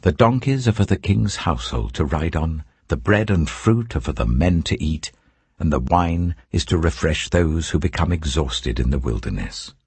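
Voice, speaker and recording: A man's voice: extremely low pitch, very animated, moderate pace, darkish tone, breathy edge, no echo, heavily acted. A man playing a humble, deferential steward addressing his king in an audiobook of scripture. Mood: humble, obliging.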